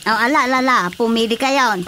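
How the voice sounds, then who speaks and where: An earnest village fish vendor in a radio drama. Her voice is high and bright, with an insistent, exclamatory manner over faint background noise. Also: fairly even intonation, fast talker. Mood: eager selling. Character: earnest, chiding village woman who peddles fish. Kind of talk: Ilocano radio drama acting.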